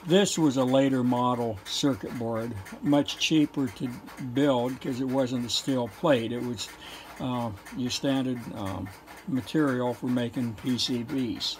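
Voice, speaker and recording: Guy doing a casual technical show and tell, slow talker, pauses a lot, plain explaining tone, medium pitch. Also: a little mellow, low-quality recording. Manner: matter-of-fact, halting, explanatory. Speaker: presenter, telephone equipment collector.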